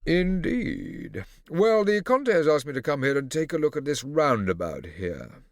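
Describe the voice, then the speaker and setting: A man's voice: fairly high pitch, big pitch swings, a little slow, no echo, studio quality, pitched above the performer's own voice. A man voicing a cocky teenage boy putting on a condescending grown-up tone. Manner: condescending, smooth. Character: cocky teenage boy imitating a haughty doctor. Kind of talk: audiobook character voice.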